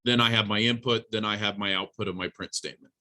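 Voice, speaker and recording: Bright-voiced male college programming instructor. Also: lowish voice, steady tone, fast talker. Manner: plain-spoken, instructive, addresses students directly. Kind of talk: informal classroom lesson with live coding demonstration.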